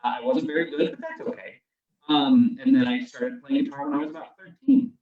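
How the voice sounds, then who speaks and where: A man's voice: fairly high pitch, huge pitch swings, brisk, creaky, echoey room, far from the mic, phone-quality sound. A man guesting as a children's musician, explaining things simply and encouragingly to young listeners. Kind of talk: children's singalong and friendly explaining. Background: acoustic guitar.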